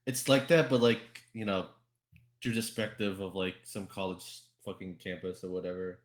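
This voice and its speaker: A man with a fairly low voice talks as a podcast panelist. His delivery is loose and halting, circling back over words in casual conversation. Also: little pitch movement. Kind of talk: casual group podcast chat.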